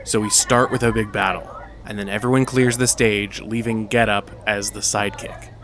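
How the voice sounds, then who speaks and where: A low voice of a man playing a stage director who is firmly in charge. He is brisk and exasperated, in conversational audio-drama style. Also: little pitch movement, fairly bright tone, a little hiss or hum. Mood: brisk, directing.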